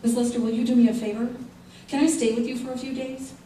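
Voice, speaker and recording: A vulnerable wife, acted by a woman in an old-time radio melodrama. Her voice is fairly high and mellow, earnest and theatrical. She sounds set back in a roomy, echoing stage hall. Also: little pitch movement, fast, close to an everyday voice. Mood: urgent, determined. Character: fragile, trusting injured wife turning distraught and determined. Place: theater stage before a live audience. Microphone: stage mics, recorded by far camera. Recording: echoey stage hall, far mic, faint noise.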